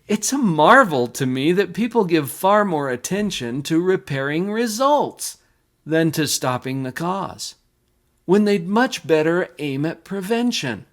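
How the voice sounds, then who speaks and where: A man's voice: fairly high pitch, big pitch swings, measured pace. A man voicing an earnest old sage in a poem, exhorting his neighbors in rhetorical style. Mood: wondering. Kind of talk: dramatic poetry recitation.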